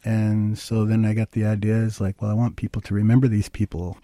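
An older man from Minnesota speaks in a soft-edged, mellow voice. He explains things in a plain, anecdotal way, as a guest in conversation. The sound is dry, with very little room on it. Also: on the low side, flat delivery, fairly quick, dry recording. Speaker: interview guest, historical researcher. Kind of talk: conversational interview, storytelling.

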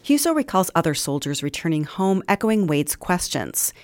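A woman's voice: lowish voice, very animated, moderate pace, noisy background. An American woman narrating a scripted radio news feature as a reporter. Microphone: close studio microphone.

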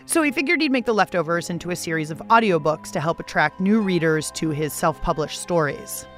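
A woman's voice: on the low side, expressive, a little fast, soft background music. An American woman hosting a radio show, reading scripted narration with playful, theatrical emphasis and casual asides.

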